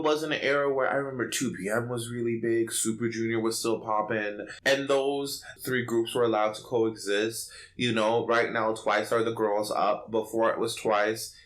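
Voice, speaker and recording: A man's voice: fairly low pitch, fairly bright tone. A man hosting a podcast in casual, opinionated chat, sassy and slangy with emphatic delivery. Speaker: podcast host.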